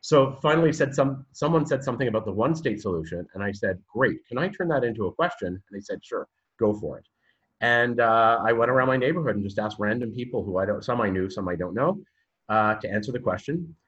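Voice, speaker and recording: A man's voice: medium pitch, expressive, a little fast, fairly bright tone, highs cut off. A man from Canada with a Canadian accent, talking as a guest in a podcast interview, hesitant with many fillers and restarts, then telling his story with growing energy. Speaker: guest, university programme director.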